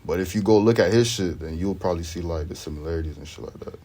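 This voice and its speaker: A man's voice: sits low, quick pace, warm tone. A young man from Sweden, a rapper, chatting in a laid-back, slangy way as the interview guest. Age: young adult. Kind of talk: casual interview chat.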